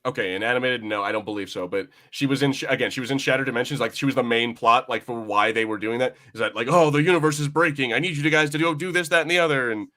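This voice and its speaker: A man's voice: medium pitch, fast talker, hardly any pauses, sharp tone. A man chatting casually as a podcast host, informal and sweary in the way he talks.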